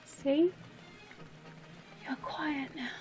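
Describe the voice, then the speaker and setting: A woman playing an obsessive, possessive librarian captor in a dramatic audio roleplay, breathy and often whispering, with theatrical pauses and a tenderly menacing manner. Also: high voice, steady tone, a little mellow, soft background music. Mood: confessing, tender.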